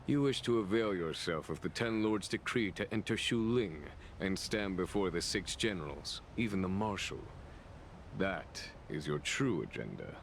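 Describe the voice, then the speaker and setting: An actor playing a composed, shrewd male general in a game, speaking in a measured, wry and commanding way. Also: low voice, expressive, a little hiss or hum. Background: game music.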